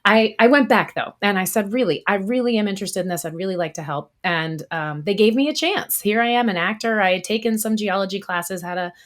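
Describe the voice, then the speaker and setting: A woman from the US East Coast, a guest in a podcast interview, telling her story in a lively, expressive way. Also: medium pitch, fairly quick, few pauses. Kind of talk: casual interview talk.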